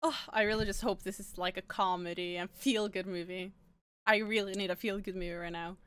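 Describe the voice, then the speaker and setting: A woman's voice: mid-range voice, fairly even intonation, brisk, music in the background. A young woman from Norway, a native speaker of Norwegian, chatting upbeat as she hosts a movie reaction from her bedroom into a studio microphone. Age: young adult. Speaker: reaction channel host. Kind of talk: casual movie reaction chat. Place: bedroom with purple lighting. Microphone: studio microphone on an arm.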